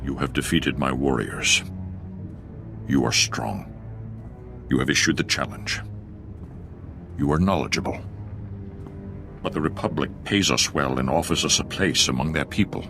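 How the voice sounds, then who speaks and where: An actor playing a proud, honour-bound alien war leader in a video game, formal, stern and declamatory. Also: male voice, very deep voice, unhurried, vocal fry, pauses often, over music, exaggerated voice. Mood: stern, solemn. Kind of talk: video game cutscene acting.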